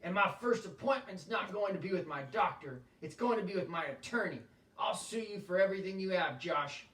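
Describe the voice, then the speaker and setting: A man reading aloud voices an angry, red-faced older male climber who shouts indignant complaints. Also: high voice, lively intonation, a little fast. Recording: echoey room, voice from a distance.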